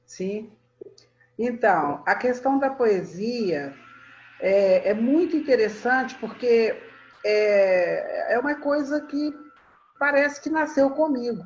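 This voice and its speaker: Woman in her sixties reminiscing, lo-fi. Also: mid-range voice. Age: 60s. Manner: warm reminiscing, laughs often, repeats words when starting. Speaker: guest storyteller. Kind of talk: reflective conversational storytelling.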